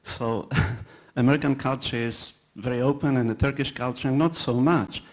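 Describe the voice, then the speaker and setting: Halting Polish-accented man giving a talk. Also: mid-range voice, steady tone. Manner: halting, many fillers and restarts; anecdotal, self-deprecating. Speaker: conference presenter. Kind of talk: conference talk.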